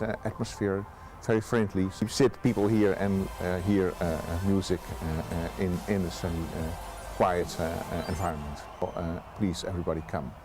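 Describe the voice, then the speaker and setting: Middle-aged Dutch-accented man, deep and hesitant, outdoor park interview. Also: darkish tone. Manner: halting, many uh fillers. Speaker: festival manager.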